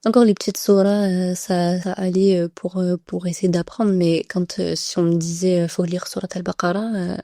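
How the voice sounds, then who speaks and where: A woman's voice: lowish voice, little pitch movement, moderate pace, hardly any pauses, warm tone, dry recording, clean studio sound. A young woman tells her story casually as a podcast guest, hesitantly and repeating words for emphasis. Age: young adult.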